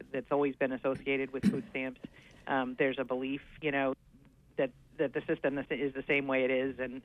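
A man's voice: medium pitch, no room sound. A man being interviewed on radio, explaining in a hesitant, earnest way with many fillers and restarts. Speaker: guest coordinating aging services. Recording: dull lo-fi radio sound.

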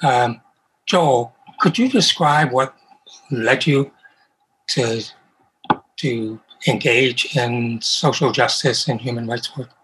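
Slow steady guy asking interview questions, faint noise behind. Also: mid-range voice, poor audio. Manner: measured, trailing questions.

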